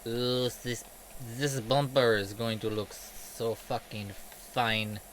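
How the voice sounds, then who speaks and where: A man's voice: mid-range voice, fairly even intonation, takes it slowly, fairly bright tone, slight background noise, poor audio. A man in a casual, rambling game commentary, sweary, self-deprecating and sarcastic. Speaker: game streamer telling stories while playing.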